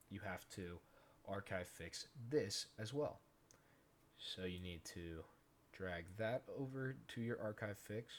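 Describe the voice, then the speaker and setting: Low-voiced man giving a casual tutorial. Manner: informal, step-by-step explaining. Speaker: tutorial host, gaming content creator. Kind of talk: casual screen-recorded software tutorial. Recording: noisy home recording with keyboard clicks.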